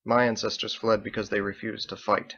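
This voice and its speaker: A man voicing a courteous, resolute paladin leader with formal, measured phrasing, in an illustrated story reading. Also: on the low side, little pitch movement, a bit bright, muffled recording. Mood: confiding.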